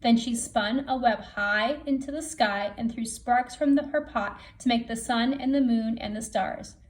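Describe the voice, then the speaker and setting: Higher-voiced woman reading a storybook aloud. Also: a little slow. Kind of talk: storybook read-aloud for children.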